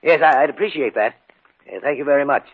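An actor playing a nervous, hesitant, frightened householder who stammers, in a 1950s radio horror play. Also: male voice, a little high, quick pace, slightly rough. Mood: anxious. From United States. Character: nervous, frightened householder guarding a cursed flask. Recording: thin, tinny old radio recording.

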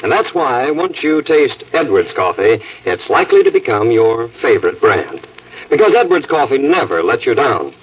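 1940s American radio announcer, muffled old broadcast. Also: male voice, mid-range voice, very animated, normal speed, far from the mic, a little hiss or hum. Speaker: radio announcer and coffee pitchman. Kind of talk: 1940s radio announcing, scripted sponsor read.

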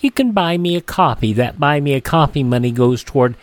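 Higher-pitched male radio host, dry studio sound. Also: expressive, brisk, dry recording. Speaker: classic radio show host. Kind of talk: scripted radio outro. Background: music.

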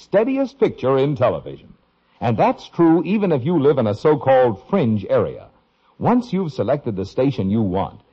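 A male American announcer in 1950s commercial style. His husky voice rises and falls dramatically as he pushes each selling point. The sound is dull, like an old broadcast. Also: mid-range voice. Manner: emphatic, salesmanlike. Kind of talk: 1950s radio advertising pitch. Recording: dull old radio recording.

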